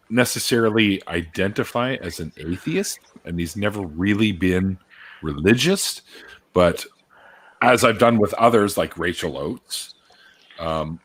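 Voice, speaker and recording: A man's voice: low voice, a bit bright, slightly rough. A Canadian man hosting a casual livestream chat over a video call, joking and laughing often. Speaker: host.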